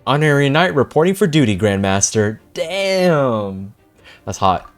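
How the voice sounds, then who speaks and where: A young man streaming his reaction to a game. His mid-range voice is extremely animated and excitable, slangy and casual, with faint music in the background. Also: medium pitch, measured pace. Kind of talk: casual gameplay reaction commentary.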